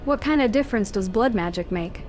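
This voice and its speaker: A woman's voice: mid-range voice, animated, fairly quick, a little mellow, soft background music, lightly acted. A woman voicing an elven heroine in a video game, direct and questioning. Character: elven woman warden, player hero. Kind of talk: fan-voiced game protagonist.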